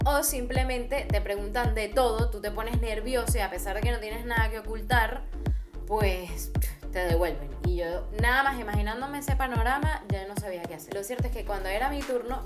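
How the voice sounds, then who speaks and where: Chatty Venezuelan woman telling travel stories. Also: medium pitch. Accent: Venezuelan Spanish. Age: young adult. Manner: chatty, lively retelling with quoted dialogue. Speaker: travel vlogger. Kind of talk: casual storytelling. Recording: music playing underneath.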